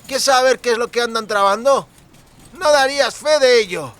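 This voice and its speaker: Audiobook role: boisterous drunk country lad, very high strained voice, bright and forceful, rustic speech. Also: male voice, normal speed. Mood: indignant, gossiping. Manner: exclamatory, colloquial rustic speech with clipped words. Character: drunken, boisterous young cooper, rustic and friendly.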